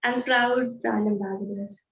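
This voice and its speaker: A woman's voice: medium pitch, steady tone, measured pace, vocal fry, reverberant, dull audio. A seventeen-year-old girl from India, a brand ambassador, greeting the group politely and eagerly. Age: teens.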